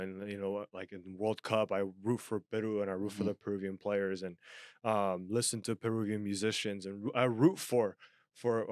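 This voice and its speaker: Young guy from japan cohosting a podcast, low smooth voice, earnest, lots of restarts, dry sound. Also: no room sound. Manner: earnest, animated, many restarts. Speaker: podcast co-host. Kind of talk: casual chat.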